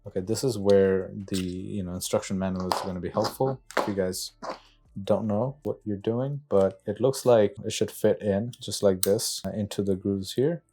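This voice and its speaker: A man's voice: low voice, dark tone. A man giving a casual product walkthrough to viewers, thinking aloud in a tentative way as he handles the parts. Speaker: product reviewer, motorcycle rider.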